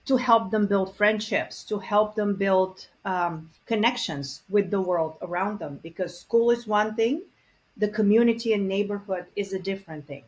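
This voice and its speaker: A woman, a guest in an interview, talking earnestly and reflectively with frequent pauses and fillers. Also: mid-range voice, a little mellow, rough recording. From Bulgaria. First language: Bulgarian. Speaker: guest expert on multilingual parenting. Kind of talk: conversational interview.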